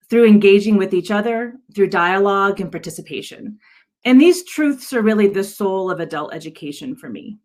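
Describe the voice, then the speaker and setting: A woman giving an earnest slide presentation on a video call as a director, with a hesitant delivery. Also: medium pitch. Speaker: adult education director presenting. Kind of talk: virtual slide presentation to an audience. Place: virtual event on video call.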